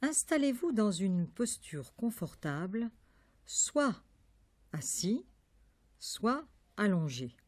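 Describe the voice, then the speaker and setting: Woman doing guided yoga nidra, really slow n calm, warm relaxed voice, lots of pauses. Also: medium pitch, dry recording. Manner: calm, measured instruction with long pauses. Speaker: yoga nidra meditation teacher. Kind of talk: guided meditation.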